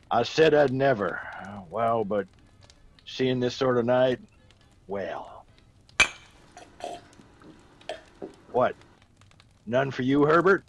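An actor playing a gruff old soldier back from India, a rambling, emphatic storyteller fond of whiskey, in a radio drama. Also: male voice, medium pitch, dull audio, full character voice. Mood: jovial, hearty.

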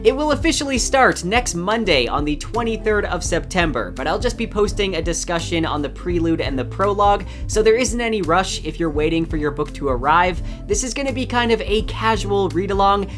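A man's voice: high voice, fairly bright tone. A man in his twenties presenting a scripted vlog to camera as the host, close on a desk microphone. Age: 20s. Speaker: book video creator, host. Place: small indoor room with bookshelves. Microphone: desk microphone close in front. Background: background music.

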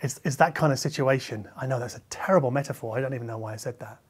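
A middle-aged man, a reviewer talking conversationally to camera in a measured, explanatory way, qualifying his points as he goes. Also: medium pitch, breathy edge. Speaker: hi-fi reviewer and video host. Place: medium-sized living room listening space, indoors.

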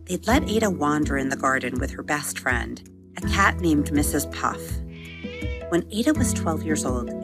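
A woman with a notably low voice narrates a children's bedtime story gently and expressively. Soft music plays clearly beneath her words. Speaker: podcast host and storyteller.

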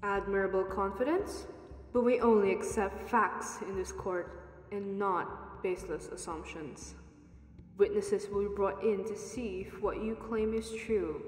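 A woman's voice: medium pitch, unhurried, natural voice. A woman playing a stern, formal judge of the afterlife in an amateur radio drama. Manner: formal, authoritative. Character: stern female celestial judge, guardian of the crossroads. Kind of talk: student radio drama acting. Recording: echoey hall reverb, faint music behind.

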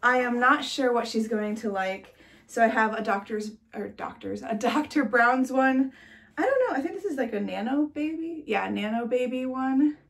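Young woman, congested, gravelly fry, slightly high voice, chatty with lots of "like", indoor nursery. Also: expressive, normal speed. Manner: chatty, with many "like"s and light laughs. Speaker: vlogger. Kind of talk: casual chat to camera.

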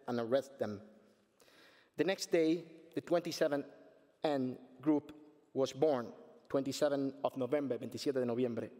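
A Cuban man in his forties with a Spanish accent, reading a prepared speech from a podium in an earnest, measured way and restarting words now and then. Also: medium pitch, darkish tone. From Cuba. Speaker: panel speaker, artist. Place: conference stage at a podium. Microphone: podium microphone. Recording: long hall reverb.

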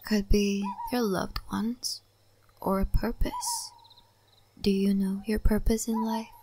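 A woman playing an ancient, wise and cryptic spirit-realm lady in a soft, breathy ASMR roleplay. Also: mid-range voice, big pitch swings, noisy background. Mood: calm, mysterious. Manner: soft, breathy, often whispering, unhurried.

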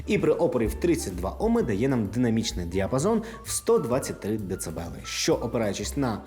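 A man's voice: mid-range voice, wildly swooping pitch, brisk, darkish tone, soft background music. A man from Ukraine hosting a casual scripted product review, talking conversationally to the viewer like a friend. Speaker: tech reviewer and video host.

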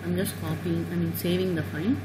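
A woman teaching a software tutorial over a screen recording, talking haltingly with many "uh" and "okay" fillers. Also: fairly low pitch, steady tone, very noisy. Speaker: tutorial instructor.

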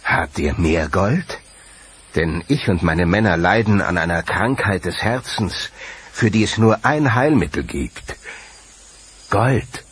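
An actor playing a greedy Spanish conquistador, a middle-aged man speaking slowly and deliberately. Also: low-pitched, animated, fairly bright tone, slightly rough, full character voice.

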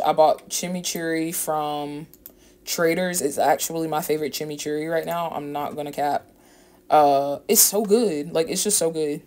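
A woman's voice: sits low, darkish tone. An American woman giving a casual, chatty voice-over for a home cooking vlog, informal and slangy. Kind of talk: casual voice-over narration of a recipe. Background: background music.

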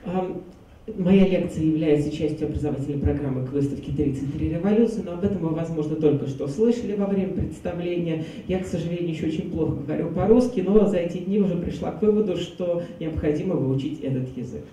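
A woman interpreting a lecture in a large hall, speaking in a smooth, formal way. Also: fairly low pitch, fast talker, warm tone, slight background noise. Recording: echoey hall from far off.

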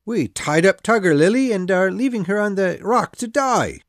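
A woman's voice: mid-range voice, expressive, normal speed, no echo, clean studio sound, close to an everyday voice. A woman voicing an eager, dim pirate sidekick who exclaims and questions in a puzzled way. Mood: eager, calling out.

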